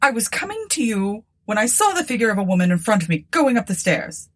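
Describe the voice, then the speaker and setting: A woman's voice: mid-range voice, very animated, fairly quick, voice pushed up. A woman voicing a frightened, guilty and hysterical woman with urgent exclamations, in a gothic storybook reading. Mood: fearful.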